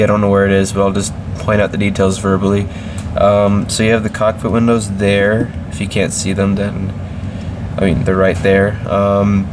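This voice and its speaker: Low, flat-voiced man with a cold. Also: background noise. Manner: hesitant, many ums and restarts. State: slightly congested from a cold. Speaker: model aircraft reviewer. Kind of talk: casual product review.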